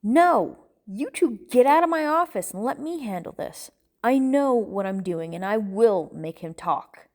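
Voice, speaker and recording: An exasperated bartender played by a woman narrator leans on a captive in a storybook thriller. He is menacing and commanding, with slow, deliberate phrasing. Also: lively intonation, a little mellow, lightly acted. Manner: low, threatening, slow deliberate delivery.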